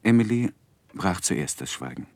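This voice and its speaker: An actor playing a reflective gentleman narrator recalling his youth, in scripted literary narration for a 1960s German radio play. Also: deep voice, big pitch swings, normal speed, vocal fry, heavily acted. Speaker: first-person narrator.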